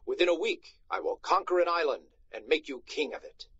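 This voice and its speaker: A grandiose storybook knight, put on by a man reading aloud, declaims his noble delusions with pompous conviction. The delivery swings dramatically and the voice is a little husky, on a dull recording. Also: on the high side, expressive, a little fast, fairly bright tone. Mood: proud. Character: grandiose, deluded old-fashioned Spanish knight, lean gentleman.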